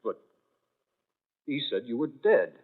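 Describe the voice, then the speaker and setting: An actor playing a frightened, bewildered husband in an old-time radio drama, speaking urgently and haltingly with demanding questions. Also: male voice, medium pitch, sing-song. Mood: shocked, questioning. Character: frightened, bewildered husband searching for his wife. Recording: muffled, narrow-band old radio recording.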